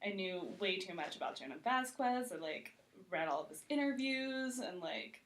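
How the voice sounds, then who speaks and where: A woman's voice: medium pitch, expressive, normal speed, fairly bright tone. A woman guest chatting casually and informally, a little self-deprecating, filling her sentences with "like". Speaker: guest, TV critic. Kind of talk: casual chat.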